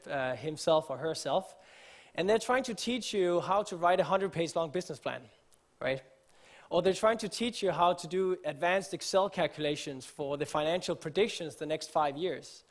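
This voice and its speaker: A man from Denmark, giving an earnest conference talk on stage, explaining with frequent fillers and restarts. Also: a little high. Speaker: conference speaker. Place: conference stage before an audience.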